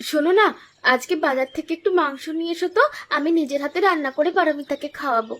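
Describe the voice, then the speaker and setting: A woman's voice: high-pitched, fast, a bit bright. A woman voicing a greedy, scheming aunt in a cartoon, coaxing with false sweetness and muttering cunning asides. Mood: sugary, coaxing. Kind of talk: cartoon voice acting.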